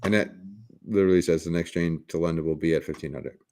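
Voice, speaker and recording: A man with a raspy smoker's voice hosting a casual livestream, joking with viewers and rambling through restarts with bursts of laughter. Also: low voice, steady tone, fast, a little mellow. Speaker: livestream host.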